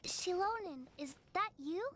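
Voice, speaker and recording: Very high-pitched sweet little girl. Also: animated, measured pace, a bit bright, a little hiss or hum, exaggerated voice. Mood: hopeful. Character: small, sweet, eager sickly girl. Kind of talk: English video game voice acting.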